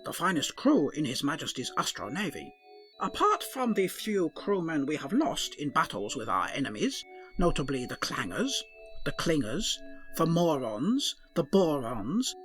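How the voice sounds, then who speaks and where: A man's voice: a little high, a bit bright, full character voice. A man voicing a fussy, earnest male ship's doctor who speaks formally in an odd, blended put-on accent, for a comic audio drama.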